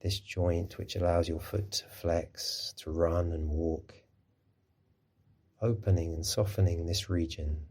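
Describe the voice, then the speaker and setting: A man with a low voice and a soft husky edge leads a guided meditation. His tone is dark and relaxed, and he gives each instruction gently and without hurry, as a mindfulness teacher would. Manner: gentle, unhurried, soothing instruction. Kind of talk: guided body scan meditation.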